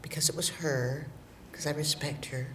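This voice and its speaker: Wry older woman, low voice. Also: steady tone, moderate pace, a bit bright, slight background noise. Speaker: theatre actor. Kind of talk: interview soundbite.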